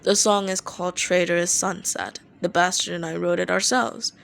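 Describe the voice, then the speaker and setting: A woman's voice: lowish voice, fairly even intonation, normal speed, gravelly, fairly bright tone. A woman playing a short, hot-tempered young male rock singer with a curt, grumbling, dry manner in an audio story. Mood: grudging.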